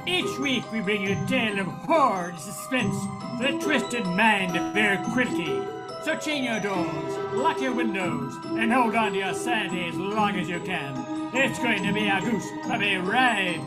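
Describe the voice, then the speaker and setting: A playfully sinister host of an old-time radio horror show, played by a woman. The delivery is theatrical, ominous and deliberate. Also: mid-range voice, animated, over loud music, lightly acted. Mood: gleefully ominous.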